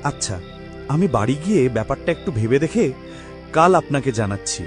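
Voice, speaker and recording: A man's voice: medium pitch, big pitch swings, fairly quick, music in the background, natural voice. A man playing a burdened, sorrowful husband and father in a melodramatic cartoon. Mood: troubled.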